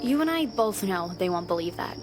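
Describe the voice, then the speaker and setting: A woman's voice: medium pitch, very animated, a little fast, music in the background, close to an everyday voice. A young woman acting as a bold, headstrong alien trainee shuttle pilot in an audio drama, breezy and insistent. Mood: persuading.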